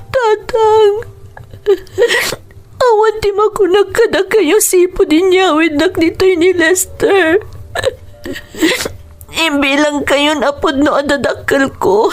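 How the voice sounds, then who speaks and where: A woman with an Ilocano accent playing a sorrowful young wife in a radio drama, pleading tearfully. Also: extremely high pitch. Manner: tearful, slow, pleading delivery.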